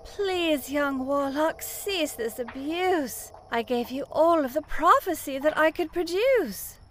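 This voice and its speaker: Actress as mystic fortune teller, high voice, slow delivery, melodramatic, formal rhyming speech, fantasy audio play. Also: female voice, expressive, slight background noise. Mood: weary, imploring. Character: suffering female fortune teller speaking in rhyme. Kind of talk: comic fantasy audio drama.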